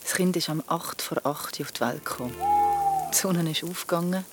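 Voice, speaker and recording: An actress with a Swiss German accent playing a haunted, shaken woman in an audio drama, halting and earnest. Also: sits low, natural voice. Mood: grieving, subdued. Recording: music behind the voice.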